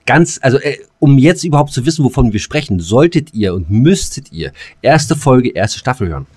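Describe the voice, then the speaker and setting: A man's voice: mid-range voice, sing-song, a little mellow, breathy edge, dry recording, studio quality. A man co-hosting a casual podcast, speaking colloquially and often correcting himself as he goes. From northern Germany. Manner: halting, self-correcting, colloquial. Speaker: podcast co-host. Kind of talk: casual unscripted podcast banter.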